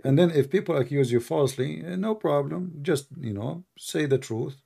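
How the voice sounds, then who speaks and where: A man's voice: a little high, moderate pace, gravelly, dark tone, husky. A man hosting a call-in show, answering a caller directly and often repeating his first words. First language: Arabic.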